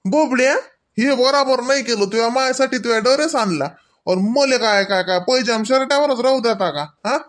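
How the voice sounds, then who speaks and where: A man's voice: very high voice, normal speed, fairly bright tone. A man playing a playful older village husband and father in a comedy cartoon, with a Varhadi Marathi accent and a folksy, rambling, mock-complaining way of talking. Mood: amazed, mock-complaining. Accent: Varhadi Marathi from Vidarbha.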